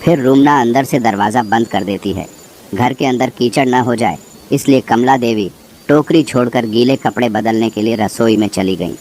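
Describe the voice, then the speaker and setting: A man's voice: medium pitch, normal speed, a little mellow. Male horror storyteller over noisy nature ambience. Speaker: story narrator. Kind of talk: scripted horror story narration. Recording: clear background noise, nature ambience.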